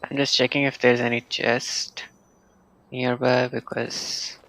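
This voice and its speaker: Slow, gravelly-voiced male gamer. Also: medium pitch, little pitch movement, a bit bright, no echo, poor audio. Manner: slow, hesitant, murmuring to himself with fillers. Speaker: game streamer. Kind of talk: casual live gameplay commentary.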